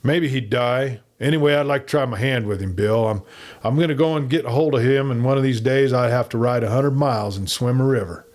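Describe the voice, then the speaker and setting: A man voicing a cruel, excitable cowboy outlaw. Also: a little fast, natural voice. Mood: excited, cruel. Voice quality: squeaky tenor.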